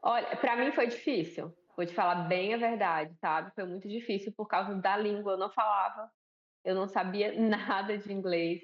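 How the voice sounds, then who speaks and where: A woman's voice: medium pitch, steady tone, normal speed, lo-fi sound. A woman from Alagoas with a Northeastern Brazilian accent, a guest in a TV interview, talking in a chatty, conversational way with many tag questions. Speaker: guest, missionary and pastor's wife.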